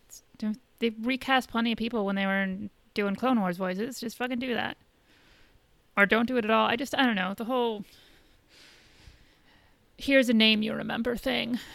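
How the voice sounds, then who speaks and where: A woman's voice: a little high, moderate pace, creaky, pauses often, a bit bright, dry recording. A woman co-hosting a podcast in casual conversation, blunt and informal, with some swearing. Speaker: podcast co-host. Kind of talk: casual chat reviewing a TV episode.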